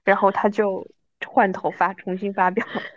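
A woman's voice: mid-range voice, little pitch movement, moderate pace, no room sound, lo-fi sound. Woman in her thirties, dry dulled recording. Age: 30s. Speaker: podcast guest. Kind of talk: casual chat, reminiscing.

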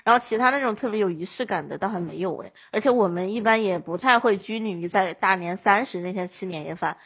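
A woman from Chongqing, China, chatting casually as a podcast guest in an animated storytelling way. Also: mid-range voice, quick pace, husky.

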